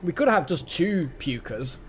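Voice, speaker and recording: A man's voice: fairly high pitch, very animated, normal speed, a little hiss or hum. A man hosting a live game stream, chatting casually and rambling as he thinks aloud. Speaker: game livestream host. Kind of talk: casual live gaming chat.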